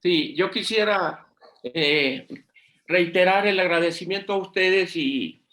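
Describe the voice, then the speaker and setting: A man's voice: high-pitched, slow, bright, forceful tone. A man from Honduras giving earnest panel remarks as a physician, often restarting and repeating his words.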